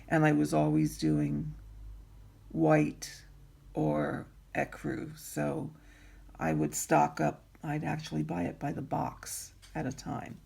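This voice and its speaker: A woman with a raspy voice teaching needlework in an easygoing tutorial, pausing often with ums and clearing her throat. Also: low-pitched, darkish tone. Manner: slow, unhurried, frequent ums and throat clearing. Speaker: embroidery teacher. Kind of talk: instructional craft tutorial.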